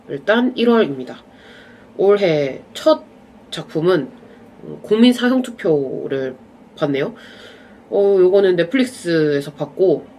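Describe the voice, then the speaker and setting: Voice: mid-range voice, slight background noise. Stuffy-nosed young vlogger rambling casually. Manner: relaxed, chatty, drifting off into asides. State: slight cold, nasal stuffy voice. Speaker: vlogger reviewing films and dramas. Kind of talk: casual rambling chat to camera.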